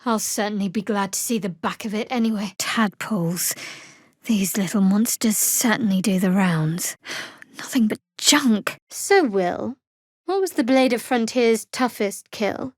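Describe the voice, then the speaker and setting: An actress playing a guarded, wry young female cleric in a fantasy video game, speaking in a cool, dry, measured way. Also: mid-range voice, no echo, lightly acted. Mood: cool, pragmatic. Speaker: video game companion character. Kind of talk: scripted fantasy game voice acting.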